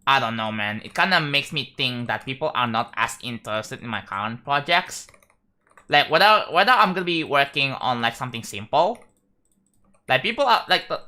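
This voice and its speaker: A young man from Indonesia with an Indonesian accent, chatting casually on a live stream, rambling and halting with frequent restarts. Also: mid-range voice, pushed and bright. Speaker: livestreamer.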